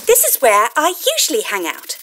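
A woman's voice: fairly high pitch, wildly swooping pitch, brisk, sharp tone, heavily acted, low-quality recording. A woman playing a tiny cheerful electronic fairy helper in an audio play, gushing and encouraging with eager sales-like patter. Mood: cheerful, helpful. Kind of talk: scripted audio play acting.

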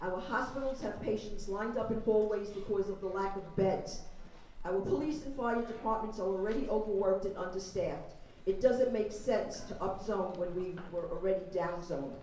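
A woman's voice: mid-range voice, moderate pace, mellow. A woman giving an emphatic prepared public comment as a resident, heard from across a large gymnasium. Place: large school-style gymnasium, public meeting. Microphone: handheld PA heard from far camera. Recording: very echoey gymnasium, distant, audience noise.

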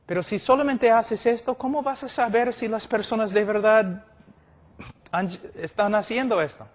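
A man's voice: high-pitched, normal speed. A middle-aged man preaching a sermon at a conference, emphatic and repeating phrases for stress, telling illustrative stories. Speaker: preacher teaching at a church conference. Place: large indoor meeting hall, speaking to a seated audience. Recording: echoey hall with long reverb.

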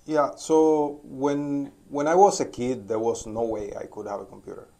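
A man in his forties from Cuba, giving relaxed, thoughtful interview answers, pausing often and restarting as he talks, filmed outdoors on a rooftop terrace on a phone at arm's length. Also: mid-range voice, creaky. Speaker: interview guest, DevOps engineer. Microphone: phone held at arm's length.

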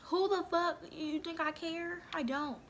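A woman's voice: extremely high pitch, fairly even intonation, a little slow, slight background noise. A young woman casually commenting on a video game at home, bickering with her brother and swearing a lot. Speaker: gaming vlogger.

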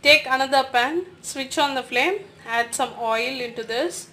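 A woman's voice: sits high, a little slow, sharp tone, a little hiss or hum. A woman, giving step-by-step recipe instructions as a voice-over in a home kitchen. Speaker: cooking channel host.

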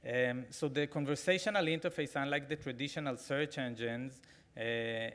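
A man in his forties with an Israeli accent, giving a keynote talk with a live demo from a podium microphone, halting with frequent fillers and restarts. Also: mid-range voice, fairly bright tone. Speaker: conference keynote speaker. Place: large conference hall, at a podium.

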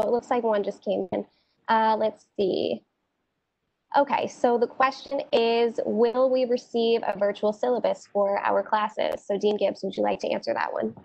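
A woman's voice: fairly high pitch, fairly even intonation, measured pace, creaky, darkish tone. A woman moderating a virtual town hall from a home office, reading out student questions and explaining steadily. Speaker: dean and moderator. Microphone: video call on a laptop. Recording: dull, muffled video call.

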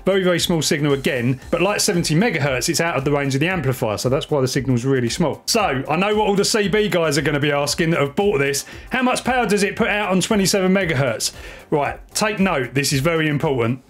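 Man, forties, slightly high pitch, raspy husky tone, chatty explainer, casual tech vlog. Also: animated, fast talker, soft background music. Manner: informal, chatty, hands-on explaining. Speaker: amateur radio YouTuber.

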